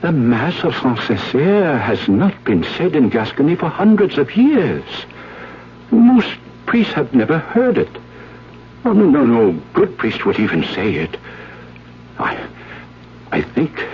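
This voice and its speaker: An actor playing a stern, fussy village priest in a 1970s radio drama, hesitant and admonishing. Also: male voice, mid-range voice, big pitch swings, unhurried. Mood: shocked, admonishing. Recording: dull, noisy 1970s radio broadcast.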